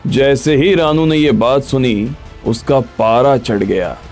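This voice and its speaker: A man's voice: mid-range voice, slow pace, darkish tone. A man narrating a scripted audio story in the role of storyteller. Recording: background music under the voice.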